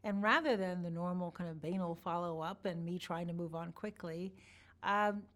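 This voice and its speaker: Woman interviewee, lowish voice, unhurried reflective storyteller. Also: fairly even intonation. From born in Iran, grew up partly in Chicago. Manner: measured, thoughtful, warm recollection with pauses. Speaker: interview guest.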